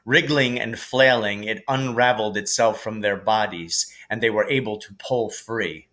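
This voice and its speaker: A man reading a storybook aloud as scripted narration, in an expressive, dramatic manner. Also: on the low side, normal speed.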